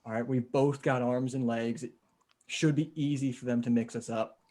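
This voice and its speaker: A man playing a determined, level-headed survivor in a zombie comic table read, reasoning aloud in measured, halting phrases. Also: medium pitch, fairly even intonation, dark tone, close to an everyday voice. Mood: reasoning, resolute. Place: remote video call table read.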